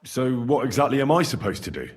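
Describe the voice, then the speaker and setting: An actor playing an earnest, polite young knightly adventurer with a husky voice in a video game. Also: male voice, mid-range voice, very animated, fast. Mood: questioning, earnest. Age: young adult. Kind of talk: naturalistic video game cutscene acting.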